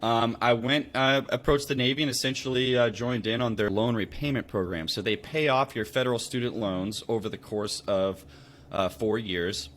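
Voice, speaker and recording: A man's voice: mid-range voice, moderate pace, a bit bright, a little hiss or hum. An American man speaking in a casual, conversational storytelling style as a podcast guest. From Louisville, Kentucky, United States. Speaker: podcast guest, real estate investor.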